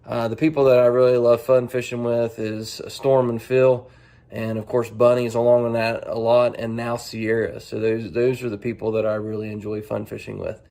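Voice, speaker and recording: A man speaking casually and haltingly as an angler answering a set of profile questions, restarting phrases as he goes. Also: mid-range voice, little pitch movement, vocal fry, warm tone.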